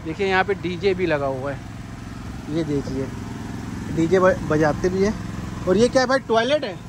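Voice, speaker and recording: A man's voice: high voice, normal speed. Upbeat man vlogging at a noisy harbour. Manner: chatty and upbeat, guiding viewers and asking questions. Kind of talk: walking vlog with street interviews. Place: outdoors at a harbour at night, then on a boat. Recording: loud outdoor noise with generator hum.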